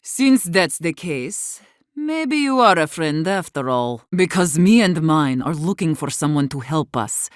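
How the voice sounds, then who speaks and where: A woman's voice: low voice, very animated, unhurried, dry recording, clean studio sound. An actress playing a defiant, battle-hardened woman who leads exiled wardens in a video game, with a blunt, commanding manner. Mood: earnest, hopeful. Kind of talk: video game character dialogue.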